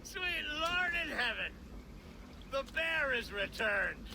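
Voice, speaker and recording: Slow, theatrical Saxon nobleman. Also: extremely high pitch, big pitch swings, gravelly, sharp tone, a little hiss or hum, full character voice, rough recording. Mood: astonished. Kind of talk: video game cutscene acting.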